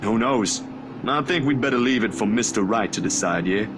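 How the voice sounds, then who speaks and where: A man's voice: fairly low pitch, normal speed, lightly acted. A man voicing an easygoing photographer friend in a visual novel, casual, reassuring and slightly hesitant. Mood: teasing, casual. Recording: music behind the voice.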